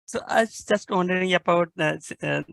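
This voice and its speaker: A man's voice: high-pitched, brisk, vocal fry, fairly bright tone, no echo. A man from Bangladesh, speaking on a video call and asking a question hesitantly, with many restarts. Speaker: workshop participant. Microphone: computer mic on a video call.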